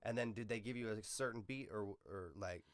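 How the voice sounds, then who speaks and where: A man's voice: on the low side, brisk, no echo, studio quality. A man hosting a podcast, asking questions in an informal, slangy way. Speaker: podcast host. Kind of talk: casual interview chat. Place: close-miked podcast recording.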